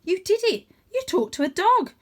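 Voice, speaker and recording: Woman as a blunt, animated streetwise she-cat. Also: very high-pitched, normal speed, pitched above the performer's own voice. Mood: amazed. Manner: blunt, incredulous, teasing. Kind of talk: children's storybook character voice.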